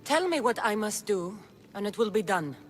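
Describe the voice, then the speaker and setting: An actress playing a blunt, defiant young Spartan mercenary in a video game. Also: female voice, mid-range voice, sing-song, normal speed, lightly acted. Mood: resolute. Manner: blunt, determined. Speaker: game voice actor. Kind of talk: dramatic video game acting.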